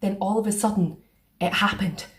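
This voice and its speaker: A woman reading a children's picture book aloud in a playful, expressive way. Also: mid-range voice, normal speed. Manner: expressive, sing-song. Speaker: storyteller.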